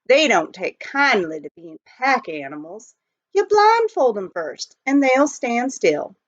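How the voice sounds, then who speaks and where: A woman voicing a rough, joshing gold-rush miner with folksy frontier grammar in a storybook reading. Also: fairly high pitch, very animated, slow pace, pitched above the performer's own voice, exaggerated voice. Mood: advising. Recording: dull lo-fi home recording.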